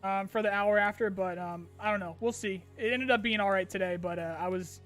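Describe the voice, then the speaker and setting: High-voiced man chatting casually over music. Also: fairly quick, fairly bright tone. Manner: reads viewer questions aloud then answers casually with fillers. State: tired, voice getting strained. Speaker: streamer answering chat questions. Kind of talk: casual chat.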